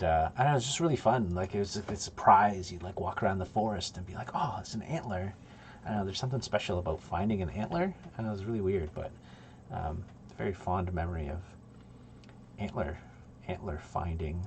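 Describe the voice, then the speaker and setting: A man's voice: low voice, darkish tone, slight background noise. A man in his thirties, originally from Poland, chatting casually and rambling with many ums while streaming game development from a home desk. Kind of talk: casual livestream chat.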